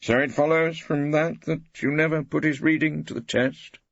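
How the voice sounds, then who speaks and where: A man's voice: on the high side, steady tone, normal speed, creaky, no room sound, pitched above the performer's own voice. Shrewd old English barrister, played by a male reader: combative, pompous, crackly voice, dry and slightly dull audiobook sound. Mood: needling, rhetorical. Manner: rhetorical, insistent, pompous flourishes.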